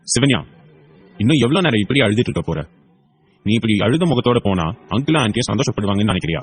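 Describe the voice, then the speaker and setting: A man's voice: mid-range voice, animated, fast, slightly rough, soft background music. A man playing a protective, affectionate young husband and father in an audio drama, with a reassuring manner. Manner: reassuring, soft-hearted, playful with child. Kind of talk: Tamil audio story acting.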